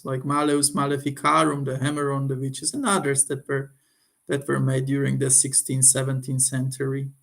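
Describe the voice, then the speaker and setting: A man from Slovakia with a Slovak accent gives an informal, unscripted review, halting as he searches for words. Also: on the high side, creaky. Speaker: occult book reviewer.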